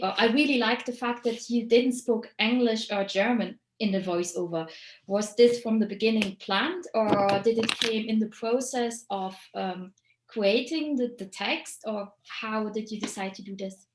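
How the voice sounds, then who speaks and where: A woman's voice: mid-range voice, echoey room. A woman moderating a film festival Q&A, asking questions thoughtfully and searching for words. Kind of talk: festival Q&A conversation.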